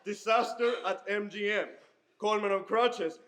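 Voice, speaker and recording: A teenage boy playing a stiff, weary gentleman in a stage farce. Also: high voice, fairly even intonation, normal speed, natural voice. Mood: exasperated. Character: stiff, weary gentleman suitor Richard, easily bored. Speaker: stage actor in a school play. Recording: noisy live stage recording.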